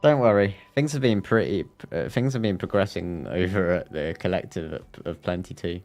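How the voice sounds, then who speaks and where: A man's voice: low voice, normal speed, darkish tone. A man livestreaming a game, reading dialogue aloud and commenting jokingly, sometimes stumbling over the lines. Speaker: live streamer. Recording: game music behind.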